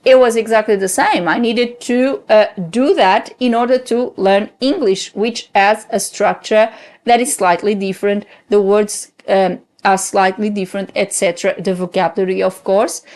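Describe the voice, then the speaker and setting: Portuguese-native woman teacher, mid pitch, lots of ums and restarts, earnest and encouraging. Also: fairly even intonation, moderate pace, darkish tone. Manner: hesitant, many ums and restarts. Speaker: language course teacher. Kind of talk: talking-head lesson.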